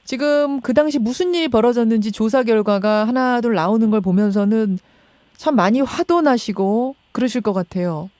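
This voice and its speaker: A woman's voice: on the high side, normal speed, darkish tone, no room sound. A woman hosting a radio news show, interviewing in a conversational, engaged way and restating what she hears. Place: radio studio. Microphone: close studio microphone.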